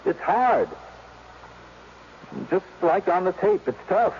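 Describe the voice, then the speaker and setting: A man acting in a radio drama as an earnest, compassionate character who muses haltingly on how hard life is. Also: high voice, expressive. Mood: earnest, sorrowful. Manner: halting, reflective, repeating himself for emphasis. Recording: dull, noisy old radio recording.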